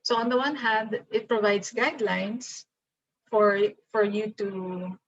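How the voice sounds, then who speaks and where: A woman's voice: mid-range voice, fairly even intonation, slow. A woman with a Filipino accent giving a workshop lecture over a video call, switching between English and Tagalog and thinking aloud. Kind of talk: online seminar lecture. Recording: dull lo-fi video call audio.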